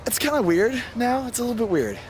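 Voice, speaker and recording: A man acting as an earnest young rookie pro wrestler in a video game cutscene, talking casually and haltingly with his buddy. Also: very high-pitched, very animated, slight background noise. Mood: amused, friendly. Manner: casual, halting, bro-ish talk.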